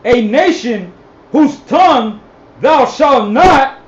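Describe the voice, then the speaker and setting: A man reading scripture aloud in a loud, declamatory style. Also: very high voice, animated, takes it slowly. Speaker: scripture reader. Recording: echoey room, dull sound.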